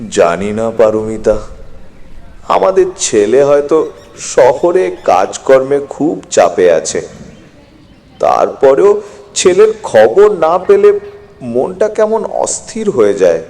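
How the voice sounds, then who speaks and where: A man as elderly farmer father, gentle, sorrowful, slow swooping delivery, moral tale, long hall reverb. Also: mid-range voice. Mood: worried. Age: older. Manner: slow, sighing, expressive. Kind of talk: dramatized moral story voice acting.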